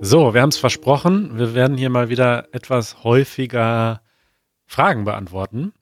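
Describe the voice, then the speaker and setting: A man co-hosting a podcast in relaxed casual chat, often hesitating and searching for words. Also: mid-range voice, moderate pace. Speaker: podcast co-host.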